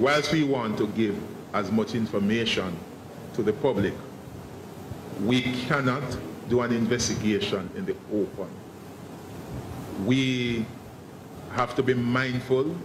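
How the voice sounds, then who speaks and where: A man speaking as a senior police investigator at a press briefing, guarded and formal, often halting and repeating words. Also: medium pitch, roomy sound. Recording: noisy online press briefing audio.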